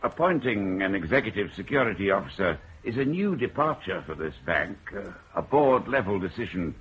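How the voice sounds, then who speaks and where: An actor playing a smooth, businesslike bank general manager in a radio drama. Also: male voice, deep voice, sing-song, moderate pace, a bit bright, slight background noise. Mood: urbane. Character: suave bank general manager, middle-aged man. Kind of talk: 1970s radio drama acting. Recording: dull lo-fi radio play recording.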